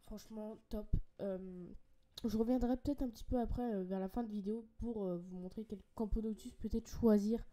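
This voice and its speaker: Young French female host, slightly congested, chatty hobby vlogger, warm dark voice. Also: mid-range voice, fairly even intonation, moderate pace. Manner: chatty and enthusiastic, many fillers and restarts. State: has a cold, a bit congested. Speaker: ant-keeping channel host. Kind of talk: casual screen-share product walkthrough.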